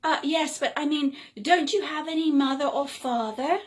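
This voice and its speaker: A woman's voice: high voice, moderate pace, pitched above the performer's own voice, lightly acted. A woman voicing a curious, polite little girl from a storybook, hesitant and questioning. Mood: puzzled, hesitant. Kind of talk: storybook character voice in a read-aloud.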